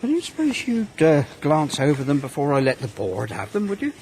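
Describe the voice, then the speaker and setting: Plain-spoken, expressive middle-aged farm manager. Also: male voice, fairly high pitch, fairly quick, slight background noise. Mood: mild, considerate. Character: patient, fair-minded middle-aged male pig unit manager, modest and stubborn. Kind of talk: naturalistic British radio soap acting.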